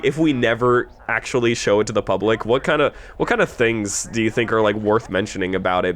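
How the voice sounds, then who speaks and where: A young adult man, producer of an audio drama, chatting casually, halting with many restarts and getting eager as he talks. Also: lowish voice, a bit bright. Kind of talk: casual commentary chat.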